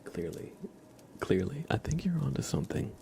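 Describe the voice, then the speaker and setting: A soft-spoken man hosting an ASMR livestream chat, hesitant and often slipping into whispers. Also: mid-range voice, sing-song, moderate pace, vocal fry. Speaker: livestream host.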